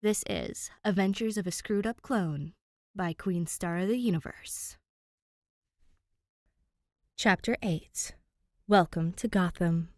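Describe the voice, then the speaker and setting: A woman's voice: fairly low pitch, slow pace, pauses often, darkish tone, dry recording, clean studio sound. A woman hosting and narrating fan fiction, chatting to listeners and reading expressively. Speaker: podfic reader and channel host.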